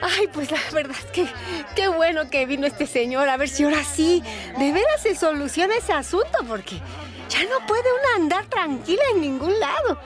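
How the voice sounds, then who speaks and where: Radio drama role: chatty folksy waitress and would-be singer, very high, animated delivery, music underneath. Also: female voice, normal speed. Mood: worried, gossipy. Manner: colloquial, folksy, giggly, rambling. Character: chatty, giggly barroom waitress and aspiring singer. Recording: music bed behind the voice.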